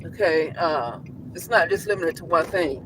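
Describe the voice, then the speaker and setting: A man's voice: on the high side, moderate pace. A man vlogging in a casual, rambling monologue, often restarting his sentences and asking rhetorical questions. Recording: noisy street traffic behind.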